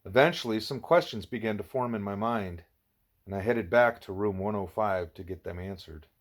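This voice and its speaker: A man's voice: lowish voice, normal speed. A man narrating a first-person novel as an audiobook reader.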